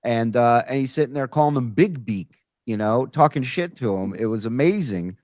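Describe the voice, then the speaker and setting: A man's voice: mid-range voice, expressive, moderate pace, darkish tone, no echo. A man hosts a loose, conversational show. His voice sits in a middle register and is captured very dry, with little room around it. He tells stories bluntly and swears freely. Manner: profane, blunt. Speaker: talk show host. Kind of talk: casual conversational storytelling.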